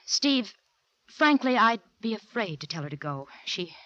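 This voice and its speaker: A woman acting a nervous, wealthy middle-aged wife in 1940s radio drama, hesitant and fretful, with halting repetitions. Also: mid-range voice, big pitch swings, sharp tone, no echo. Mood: anxious, fretful. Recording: vintage 1940s radio, dull and dry.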